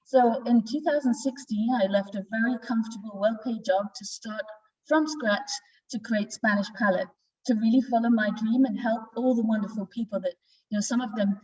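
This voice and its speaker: A woman's voice: mid-range voice, darkish tone. Distant-sounding Northern English woman, dull audio. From north of England. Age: 40s. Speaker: guest presenter, wine exporter. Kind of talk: informal virtual tasting presentation. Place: office tasting room, indoors. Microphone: video call, away from the mic.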